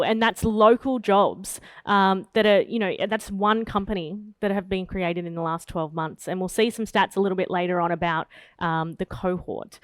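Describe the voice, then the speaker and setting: A woman's voice: mid-range voice, clear, resonant tone, dry recording, clean studio sound. A woman hosting a demo event, introducing speakers in an enthusiastic, upbeat way. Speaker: event host. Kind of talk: emcee introductions.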